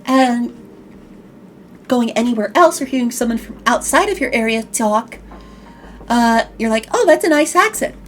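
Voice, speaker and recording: A woman's voice: a little high, animated, moderate pace, pauses often, slight background noise. A woman host with a slight Chicago accent, chatting animatedly on an online talk show, often restarting her words. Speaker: podcast host. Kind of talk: casual online chat show.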